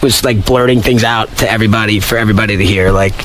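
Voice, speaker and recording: A man as an interview guest, chatting casually in a halting, evasive way. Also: on the low side, fairly even intonation, a bit bright, slight background noise. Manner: hesitant, evasive, halting phrasing. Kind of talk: casual chat.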